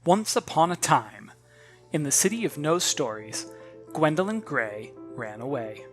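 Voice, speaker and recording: An author narrating a children's novel in an expressive, theatrical way, addressing listeners directly. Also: medium pitch, a little slow, music in the background, rough recording.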